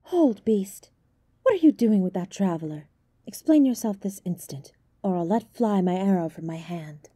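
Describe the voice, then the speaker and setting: A woman playing a stern, imperious High Elf archer in a fantasy roleplay, commanding and formal. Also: expressive, normal speed, dark tone, slightly rough, dry recording, studio quality. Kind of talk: fantasy roleplay audio drama.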